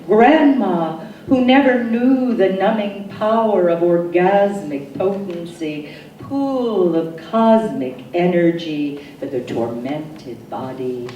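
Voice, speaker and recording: A woman poet reading her own work as a dramatic recitation, solemn and deliberate, with emphatic phrasing and pauses. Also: on the low side, mellow, lo-fi sound. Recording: echoey, distant mic, noisy room.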